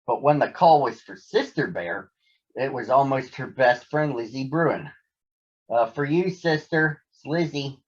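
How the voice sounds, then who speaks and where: Husky man reading a children's story. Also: mid-range voice. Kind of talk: read-aloud children's story narration. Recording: dull lo-fi sound.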